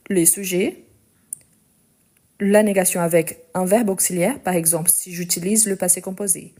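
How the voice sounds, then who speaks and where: A woman leads a live French conjugation lesson, reading each practice sentence aloud at a very slow, deliberate pace. Her voice sits in the middle of the range with a mellow, warm tone, and she explains each rule patiently. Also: medium pitch. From Portugal. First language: Portuguese. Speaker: French teacher.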